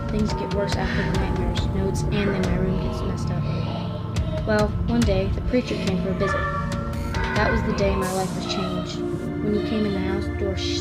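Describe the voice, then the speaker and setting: A young woman reading a first-person scary story aloud as an amateur, now and then stumbling and rereading. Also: medium pitch. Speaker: guest story reader. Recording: loud music behind the voice. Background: loud background music.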